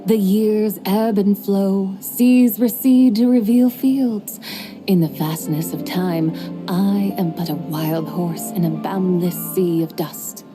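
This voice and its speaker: A woman's voice: medium pitch, slow pace, over music, close to an everyday voice. A woman voicing a poetic, showy ancient horse spirit. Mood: amused, poetic. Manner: archaic, poetic phrasing with a wry, amused tone. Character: ancient, playful female horse spirit who shows off.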